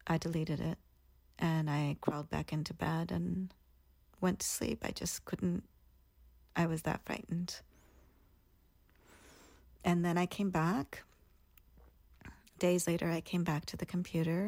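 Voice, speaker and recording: A woman's voice: on the low side, gravelly, darkish tone, no echo. A woman in her thirties, earnestly and reflectively telling her own story as a guest in an interview. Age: 30s.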